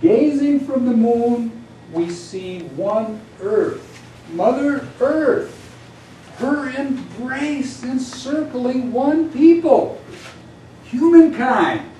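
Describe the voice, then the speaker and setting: A man's voice: very high-pitched, lively intonation, extremely slow, warm tone. A man reciting his own epic poetry in a solemn, grandiose, declamatory manner, as a poet at a live reading. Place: indoor venue at a live reading. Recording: echoey room from far off, background noise.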